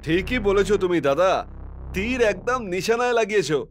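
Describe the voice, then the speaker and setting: An actor playing an eager, flattering male junior assistant in a comic dramatized story. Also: high voice, moderate pace. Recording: noisy ambient background.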